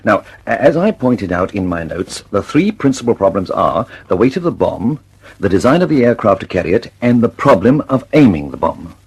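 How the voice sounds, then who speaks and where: A man's voice: fairly low pitch, sing-song, moderate pace, slightly rough, full character voice, low-quality recording. An actor playing an earnest, impatient British male inventor in a radio drama, speaking emphatically and precisely. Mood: polite, pressing.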